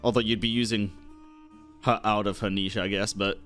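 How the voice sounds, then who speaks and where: A man's voice: lowish voice, level tone, normal speed, fairly bright tone, faint music behind. A man doing casual live-stream gaming commentary, chatting to viewers and tossing out jokey, sarcastic asides. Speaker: streamer playing a video game.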